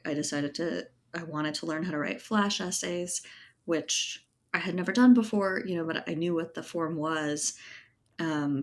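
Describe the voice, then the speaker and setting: Low-pitched woman talking casually. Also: slight background noise. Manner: thoughtful, explanatory, peppered with "you know" and "like". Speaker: interview guest, writer and editor. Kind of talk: casual conversational interview answers.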